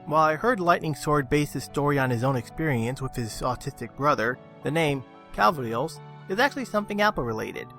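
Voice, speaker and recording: Animated higher-voiced man narrating a review. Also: brisk, few pauses. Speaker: video reviewer. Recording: music under the voice. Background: background music.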